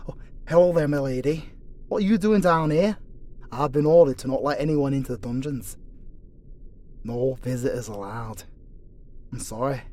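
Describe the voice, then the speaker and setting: A man's voice: medium pitch, moderate pace, pauses often, warm tone, lightly acted. A man playing a dutiful, prejudiced angel dungeon guard with a formal, sanctimonious manner, in a fantasy audio roleplay. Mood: flustered, deferential.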